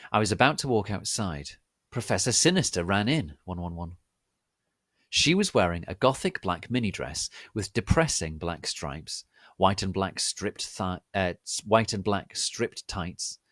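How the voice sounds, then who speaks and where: A man reads a story aloud in a fairly low voice. His narration is theatrical and mock-serious, with highly varied intonation and a dry, close sound. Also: a bit bright, no room sound. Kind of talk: dramatic reading of fan fiction.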